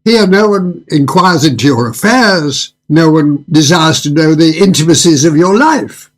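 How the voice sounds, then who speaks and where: Man playing a husky, suave, sinister restaurateur. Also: on the high side, expressive. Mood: smooth, assured. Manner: smooth, purring, unctuous, deliberate formal politeness. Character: Spiro, suave, courteous, faintly sinister male restaurant owner. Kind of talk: radio drama acting. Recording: music under the voice.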